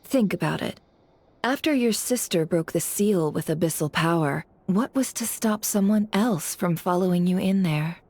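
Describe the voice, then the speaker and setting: Sly, lowish-voiced information broker. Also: female voice, moderate pace, darkish tone. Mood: teasing, probing. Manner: knowing, sly, rhetorical. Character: shrewd, teasing female information broker, coolly self-assured. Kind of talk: video game character acting.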